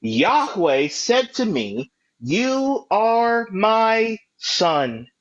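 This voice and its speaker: A man's voice: sits high, big pitch swings, very slow. A man hosting a live apologetics call-in debate, pressing his point and repeating himself to cut in. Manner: insistent, repeats himself to interrupt. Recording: dull lo-fi stream audio.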